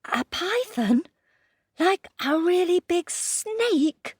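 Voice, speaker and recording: A woman voicing a small, clever young male rabbit, eager and squeaky. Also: big pitch swings, very slow, no room sound, studio quality, full character voice. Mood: chirpy.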